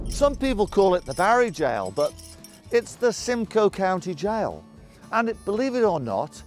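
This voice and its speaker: A middle-aged man telling local history as a town crier, in a jovial, hammy, exclamatory manner full of rhetorical questions and dramatic pauses. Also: high voice. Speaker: town crier storyteller and guide. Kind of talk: theatrical historical storytelling to camera.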